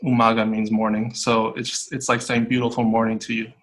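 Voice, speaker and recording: Man, young adult, bright tone, flat intonation, relaxed with fillers, school morning show guest. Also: fairly low pitch, a little fast, low-quality recording. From United States. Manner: friendly, relaxed, with fillers and restarts. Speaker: school tech support guest. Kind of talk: casual interview answers.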